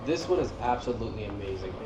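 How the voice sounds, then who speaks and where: A man's voice: lowish voice, expressive, quick pace. A man giving casual, informal commentary on game cutscenes, talking like an enthusiastic fan. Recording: roomy echo, music behind. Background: game cutscene music.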